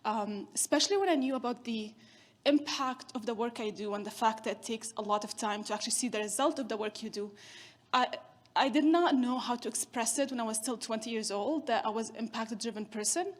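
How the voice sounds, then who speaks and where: Chatty keynote woman, higher voice. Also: a little fast. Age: 30s. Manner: chatty, storytelling with self-deprecating humor. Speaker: keynote speaker. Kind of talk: conference keynote talk.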